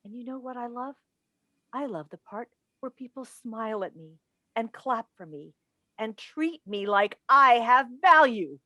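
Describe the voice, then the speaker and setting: A woman's voice: a little high, expressive, unhurried, pushed and bright, no echo. Woman acting as a defensive, proud wife, dry sound. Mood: angry, defiant. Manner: earnest, defensive. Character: defensive, proud wife who hosts a talk show. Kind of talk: staged play reading. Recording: video call recording.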